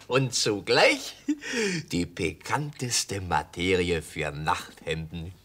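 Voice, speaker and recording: Cocky travelling salesman in a radio drama, deep voice, jovial, self-satisfied, no clue. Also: big pitch swings, drawn-out words, sharp tone, heavily acted. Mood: boastful. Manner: self-satisfied, hesitating, chuckling. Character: boastful, jovial, unsuspecting travelling textile salesman. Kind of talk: German radio play acting.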